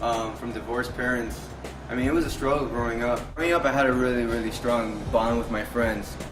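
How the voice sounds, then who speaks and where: A man's voice: mid-range voice, fairly even intonation, fairly quick, reverberant, music in the background, low-quality recording. A man in his twenties from Miami speaks reflectively in an interview, repeating himself as he thinks. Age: 20s. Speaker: reality show cast member. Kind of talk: confessional interview.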